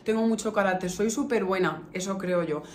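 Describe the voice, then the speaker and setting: A woman's voice: medium pitch, fairly quick, a little mellow. A woman in her early thirties with a Castilian accent from La Mancha, chatting casually and affectionately to her viewers with emphatic repetitions. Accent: Castilian Spanish from La Mancha. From Albacete, La Mancha, Spain. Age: early 30s. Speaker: beauty vlogger. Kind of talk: casual chat to camera, answering viewer questions. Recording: echoey room with faint noise.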